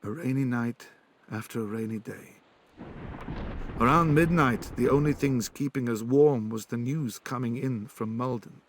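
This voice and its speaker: Weary middle-aged resistance leader played by a man, calm, dry understatement, mid pitch. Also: measured pace, a little hiss or hum, close to an everyday voice. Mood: narrating. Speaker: narrator.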